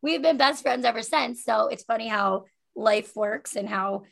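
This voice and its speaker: A woman hosts a casual interview podcast as if chatting with a close friend. Her voice sits fairly high, with plenty of vocal fry. Her manner is effusive and giggly. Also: normal speed. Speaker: podcast host.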